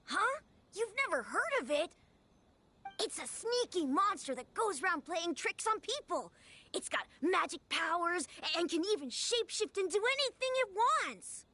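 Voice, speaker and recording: Eager, bright, very high kid. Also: female voice, sing-song, normal speed, exaggerated voice. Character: eager, excitable small village child. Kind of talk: anime video game voice acting.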